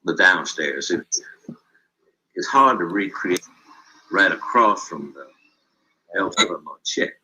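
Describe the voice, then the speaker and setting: A man's voice: low-pitched, animated, slow, pauses often, sharp tone, poor audio. A man, an author, reflecting on local history in a rambling interview.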